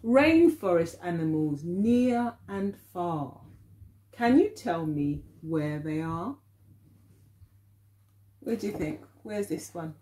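A middle-aged woman, an early years teacher, reads a picture book aloud to young children, expressively and deliberately, with questions for them. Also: on the low side, expressive, warm tone, a little hiss or hum. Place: living room at home, indoors.

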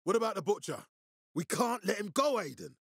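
An actor playing an authoritative, blunt militia leader with a gravelly voice in a zombie apocalypse video game. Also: male voice, sits high, lively intonation, a little fast, fairly bright tone, no echo, studio quality, heavily acted. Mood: urgent, insistent. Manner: authoritative, urgent, giving blunt orders. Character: commanding, hard-swearing male militia leader in a zombie apocalypse. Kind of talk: video game dramatic acting.

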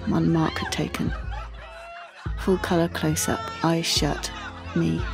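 Lowish-voiced, confiding teenage girl. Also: wildly swooping pitch, gravelly, music in the background, heavily acted. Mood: shaken. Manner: confiding, halting. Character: sensitive teenage art student, shaken and confiding. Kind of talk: dramatic monologue in audio play.

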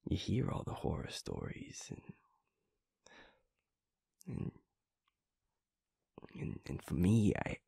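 Male actor as young werewolf boyfriend, intimate audio roleplay: low, gravelly, tender, awed, halting, close and dry. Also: wildly swooping pitch, dark tone, no room sound, whispered, heavily acted. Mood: excited, disbelieving. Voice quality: husky, breathy, gravelly. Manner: halting, sweary, emotional murmuring to a partner.

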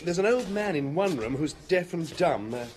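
An actor playing a keen, deferential junior police inspector in a radio drama, brisk and conversational. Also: male voice, on the high side, lively intonation, a little mellow, natural voice. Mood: eager reporting. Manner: conversational, reporting to his superior. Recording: radio drama with background ambience noise.

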